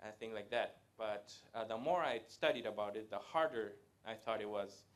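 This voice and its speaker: A man, giving a live presentation to an audience in a conversational way, pausing often to hesitate. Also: lowish voice. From Latin America. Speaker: guest speaker. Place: event room with a live audience.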